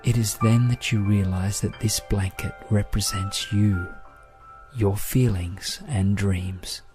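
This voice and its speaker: Slow, low-pitched male meditation guide over music. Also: fairly even intonation. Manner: gentle, soothing, measured delivery.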